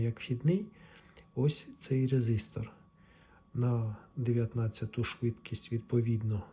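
A man giving an informal technical tutorial, explaining step by step in a halting way. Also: medium pitch, warm tone, dull audio, a little hiss or hum. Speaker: electronics repair hobbyist presenter.

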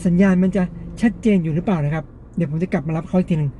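A man's voice: a little high, fairly even intonation, very fast, warm tone, slight background noise. A man hosting a casual gadget test, explaining things step by step to viewers in a polite way. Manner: explanatory, frequent polite particles. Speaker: gadget review host.

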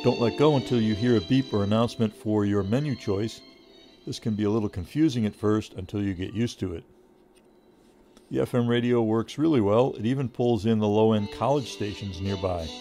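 Mellow low-voiced man narrating a product review. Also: fairly quick, faint music behind.

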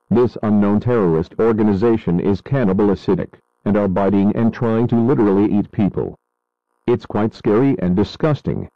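Man acting as grave radio news anchor, low voice, serious, explanatory, dry dull recording. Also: no echo, natural voice. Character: grave male radio newsman covering a disaster. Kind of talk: scripted breaking news coverage.

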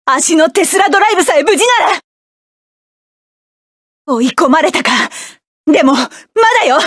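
A woman voicing a proud, hot-tempered young mecha pilot, barking sharp, clipped orders in game battle lines. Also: sits high, expressive, moderate pace, no room sound, clean studio sound. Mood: defiant, strained.

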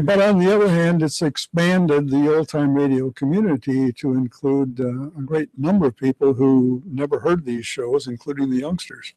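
An older man with a mellow voice, explaining in a matter-of-fact, knowledgeable way. He sounds dry and close, in a studio setting. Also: a little high, normal speed, no room sound, rough recording. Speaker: radio historian guest. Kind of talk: informative conversation. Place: radio studio.

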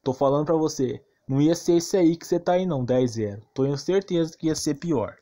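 A man's voice: fairly high pitch, a little fast, dark tone. A man with a Brazilian accent giving informal game commentary, arguing insistently and repeating himself with plenty of slang. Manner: argumentative, insistent, repetitive, slangy. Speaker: gaming YouTuber.

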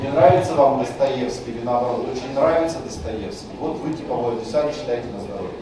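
Lecturer in a very echoey room. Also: male voice, medium pitch. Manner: repeats key terms slowly, conversational asides. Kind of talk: university lecture. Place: lecture hall. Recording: far-off mic in an echoey lecture room with noise.

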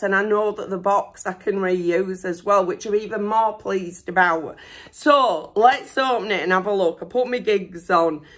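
A middle-aged woman talking casually into a camera at home. Her voice is hoarse and a bit rough from a recent illness. She rambles chattily and sounds excited and self-deprecating. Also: medium pitch. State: hoarse, recovering from lost voice. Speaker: vlogger. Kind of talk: casual chat. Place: bedroom at home, indoors.

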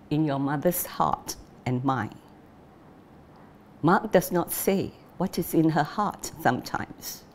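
A woman's voice: sits low, darkish tone. A woman reading as a worried old father. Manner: earnest, measured letter reading. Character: worried old father writing to his son.

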